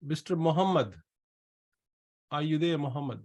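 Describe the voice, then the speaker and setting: A man's voice: on the high side, normal speed, darkish tone. A middle-aged man from Pakistan with a Pakistani accent, hosting an online question session and advising participants in a blunt, probing way. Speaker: webinar host and pharmacist adviser. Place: small room indoors, on a video call. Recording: noisy video call, clicks.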